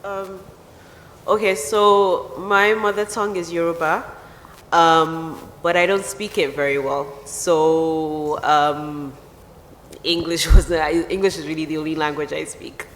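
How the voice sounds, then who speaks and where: A female author answering on a festival panel with light, quick asides. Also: sits low, fairly even intonation. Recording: reverberant festival hall on PA, faint noise.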